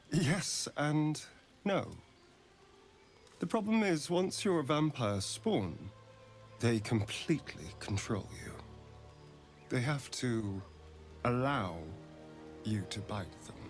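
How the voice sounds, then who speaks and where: Theatrical, swooping vampire. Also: male voice, medium pitch, expressive, slow pace, long pauses, faint music behind. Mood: sly, explanatory. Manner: arch, dramatic, swinging from mocking to hushed. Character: sardonic, theatrical male vampire spawn, centuries old. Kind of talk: video game character dialogue.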